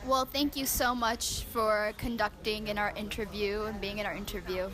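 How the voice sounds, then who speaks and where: A woman's voice: medium pitch, fairly even intonation, normal speed. A woman interviewing politely with formal questions.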